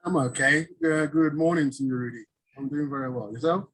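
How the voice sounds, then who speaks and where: A middle-aged man from Ghana, a business owner talking casually in an interview over a video call, relaxed, often chuckling and restarting. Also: fairly high pitch, a little fast.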